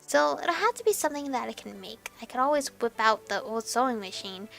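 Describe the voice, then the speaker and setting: A woman reading a visual novel aloud as expressive narration, with animated reactions and some stumbling over words. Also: on the high side, a little fast, vocal fry. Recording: background music behind voice. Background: game music.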